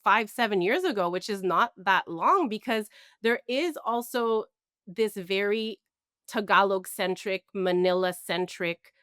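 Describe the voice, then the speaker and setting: A woman's voice: medium pitch, a bit bright, no room sound, studio quality. A woman guest on an interview show, talking reflectively with many fillers and restarts. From Canada. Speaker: guest, mental health counselor. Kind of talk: conversational interview.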